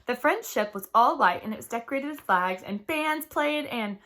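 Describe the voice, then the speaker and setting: An earnest little storybook girl voiced by a woman, high and eager. Her intonation jumps around freely as she talks. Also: animated, normal speed, a bit bright. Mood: excited.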